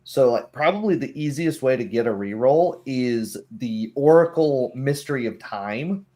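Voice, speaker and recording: A man's voice: mid-range voice, animated, normal speed, warm tone. A man chatting casually about tabletop game rules in an explanatory way, sometimes voicing short hypothetical remarks.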